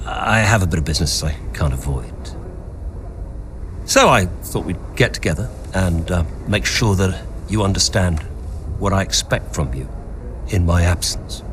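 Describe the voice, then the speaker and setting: An actor playing a middle-aged, ambitious father and racing team owner, speaking in a commanding, deliberate way for a game story. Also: low voice, noisy background. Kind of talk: cinematic game story dialogue.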